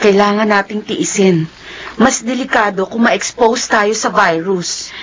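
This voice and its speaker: An actress playing a stoic, overworked nurse in a radio drama, in a dull recording. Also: female voice, medium pitch, a little slow, close to an everyday voice. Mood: concerned. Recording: dull sound, faint background noise.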